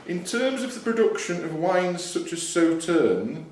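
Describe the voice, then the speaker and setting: A man reads quiz questions aloud as the host of a live event. His voice sits a little high and comes from some way off the mic. The room is very echoey. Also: big pitch swings, unhurried, recorded from a distance, a little hiss or hum. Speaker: quizmaster. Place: club function room, indoors.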